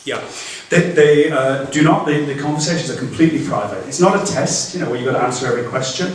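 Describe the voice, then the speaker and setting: A man's voice: medium pitch, fast talker. A man, a guest speaker, answering audience questions after a talk, hesitant with many restarts and fillers. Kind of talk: Q&A after a lecture. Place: lecture room. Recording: echoey room from far off.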